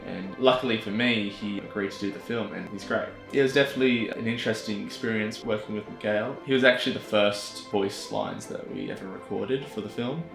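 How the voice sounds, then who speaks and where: Chatty Australian man, low and gravelly. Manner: chatty, self-deprecating, laughs easily. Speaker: filmmaker. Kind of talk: casual vlog narration. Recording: echoey room with music under it.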